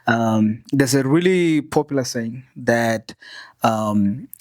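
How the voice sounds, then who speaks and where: A man's voice: mid-range voice, takes it slowly, darkish tone, no room sound. Chatty male podcast host, dry sound. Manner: chatty, friendly, many affirming "mm" and "yeah". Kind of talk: casual interview.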